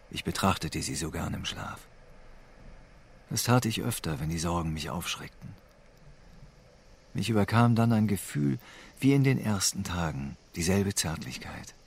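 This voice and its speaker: An actor playing a weary, melancholic homeless man who broods over his lost family in a radio drama, with subdued delivery. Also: slight background noise. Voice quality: low, gravelly. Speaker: narrator and protagonist. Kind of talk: radio drama first-person narration and dialogue.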